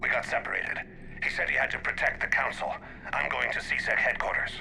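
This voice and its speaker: Alien operative, very sharp gravelly tone, controlled urgency, noisy radio call, video game. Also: male voice, mid-range voice, fairly quick. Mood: urgent, grave.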